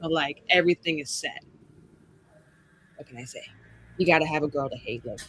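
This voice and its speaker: A woman's voice: low-pitched, fairly quick, fairly bright tone, breathy edge. A woman chatting casually on a tabletop game stream, effusive and slangy with a tongue-in-cheek manner. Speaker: player on an actual play show. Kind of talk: casual chat introducing a character.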